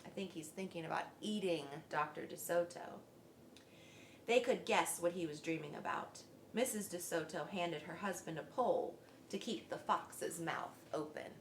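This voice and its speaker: A woman's voice: fairly low pitch, moderate pace, slight background noise. A woman reading a children's story aloud expressively, as a school librarian does. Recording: echoey room.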